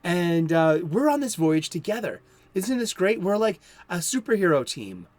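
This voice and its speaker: A man's voice: high voice, very animated, normal speed, no echo. A man from Boston hosting a solo comics talk show, chatty and playful with rambling asides.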